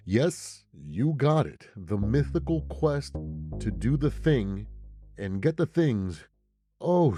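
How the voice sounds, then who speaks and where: Male gaming creator, low mellow voice, relaxed and playful speculation read, faint music underneath. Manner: rhetorical questions and playful asides. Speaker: gaming video creator and host. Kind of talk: casual scripted game speculation commentary. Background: background music.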